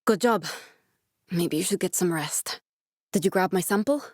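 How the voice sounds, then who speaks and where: An actress playing an earnest, guarded woman scientist in a video game, speaking in a measured, serious, instructive way. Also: on the low side, no room sound, clean studio sound, lightly acted. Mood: focused, briefing.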